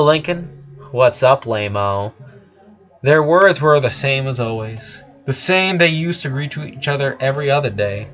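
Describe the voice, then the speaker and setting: A man's voice: medium pitch, fairly bright tone, lo-fi sound. A man reading a story aloud as narration, sometimes stumbling over his words and correcting himself.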